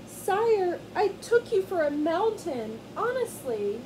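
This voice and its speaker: A small, eager male mouse from a storybook, voiced by a woman reader, pitched high and pleading. He talks slowly and earnestly. A faint hiss sits behind the voice. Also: very animated.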